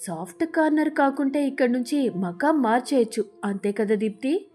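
A woman voicing a cautious, agreeable young male parrot in a mild, obliging way for an animated storybook. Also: high voice, moderate pace, faint music behind.

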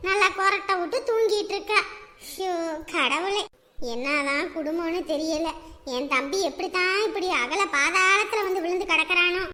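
Woman acting a high-pitched grumbling elder sister. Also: moderate pace, long reverb tail, background noise. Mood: exasperated grumbling. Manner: scolding, lamenting. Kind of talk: comic family skit acting.

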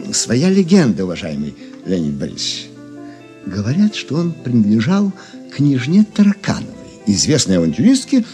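A man's voice: mid-range voice, dark tone. An actor playing a learned gem connoisseur who savours his words as he tells legends in a radio drama. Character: erudite, expressive male gem connoisseur telling legends.

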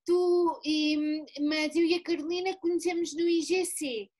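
A woman with a European Portuguese accent, hosting an interview over a video call, enthusiastic and halting with many restarts. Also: very high-pitched, fairly even intonation, a little mellow. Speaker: interview host. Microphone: laptop webcam on video call.

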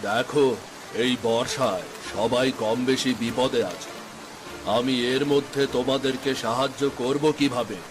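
A man's voice: mid-range voice, steady tone, a little slow, background noise, natural voice. A man playing a stern, dismissive rich village landlord in a cartoon. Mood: stern dismissal. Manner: dismissive, scolding. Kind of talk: Bengali fairy-tale cartoon dialogue.

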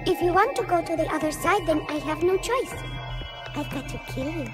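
A woman's voice: very high-pitched, animated, fairly quick. A woman voicing a cute, eerie childlike girl in a video game, with a teasing sing-song delivery that is playful and menacing. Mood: clingy, menacing. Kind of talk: video game cutscene acting. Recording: music over the voice.

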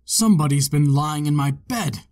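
A man voicing a great big male bear from a storybook, declaring things loudly in a big gruff voice. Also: a little high, expressive, moderate pace, close to an everyday voice. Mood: loud, accusing.

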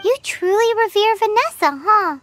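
A woman's voice: extremely high pitch, lively intonation, moderate pace, fairly bright tone, faint music behind. A woman voicing a tiny, childlike fairy companion in a video game, perky, protective and emphatic. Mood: curious. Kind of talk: video game character acting.

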